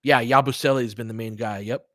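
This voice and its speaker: A man's voice: medium pitch, fairly even intonation, quick pace, no echo, clean studio sound. A man doing live audio play-by-play of basketball, mixing conversational analysis with replies to listeners as he thinks aloud. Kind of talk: live basketball commentary mixed with casual analysis and chat replies.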